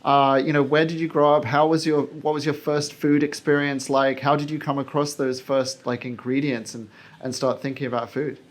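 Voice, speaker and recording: A man's voice: medium pitch, level tone, normal speed. A man hosting a podcast, interviewing a guest in a conversational, enthusiastic manner. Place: small basement room on an indoor farm.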